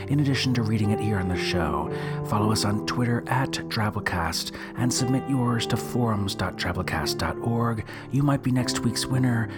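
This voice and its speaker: A man hosting a podcast in a scripted but folksy, informal way, with guitar music behind. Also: fairly low pitch. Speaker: podcast host.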